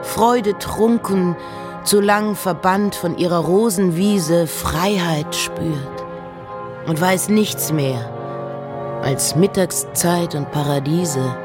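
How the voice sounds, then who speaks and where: A woman playing a reflective, intense poet in a slow, intimate dramatic recitation of letters and verse. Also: fairly low pitch, over music. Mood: elated. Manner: measured, intimate, often dropping to breathy whispers.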